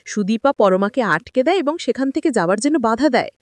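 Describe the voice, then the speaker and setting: A woman's voice: a little high, expressive, a little fast, dry recording. A woman reading a scripted story recap of a TV drama, moving from scene to scene. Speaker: narrator of TV serial episode recaps. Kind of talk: scripted story recap narration with acted-out dialogue.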